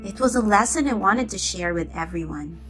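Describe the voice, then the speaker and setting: A woman's voice: medium pitch, animated, brisk, soft background music. A woman reading a children's storybook aloud, expressively acting out the dialogue. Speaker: storybook reader.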